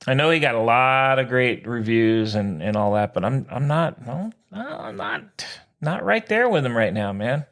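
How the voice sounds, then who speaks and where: A man's voice: mid-range voice, animated, moderate pace, hardly any pauses, fairly bright tone. A man co-hosting a sports podcast in casual banter, with an informal, exclamatory, slangy way of talking.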